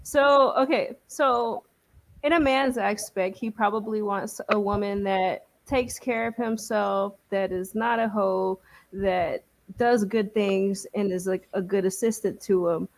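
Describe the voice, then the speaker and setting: A woman's voice: mid-range voice, steady tone, measured pace. A woman guest on a podcast panel, answering hesitantly with many fillers. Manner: hesitant, filler-heavy, laughing. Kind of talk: casual discussion.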